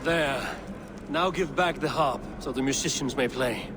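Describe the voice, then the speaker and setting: A man's voice: mid-range voice, a little slow, background noise, natural voice. A man playing a proud, confident Norse warrior god in a video game, formal and commanding, with ambience behind. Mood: stern. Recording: clear outdoor ambience behind the voice.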